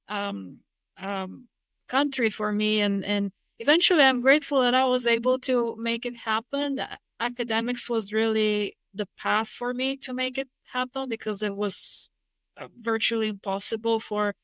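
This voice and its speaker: A woman from Bulgaria, a real estate investor, answering interview questions in a measured, thoughtful way with frequent restarts. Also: fairly high pitch, fairly bright tone, smooth, no echo. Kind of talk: interview conversation.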